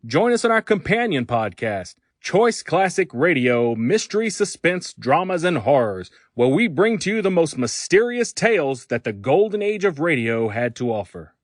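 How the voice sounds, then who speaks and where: Male host voice for a show intro, animated delivery, dry close sound. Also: a little high, normal speed, few pauses, dry recording. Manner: announcer-like, lively. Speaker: podcast host. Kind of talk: scripted introduction.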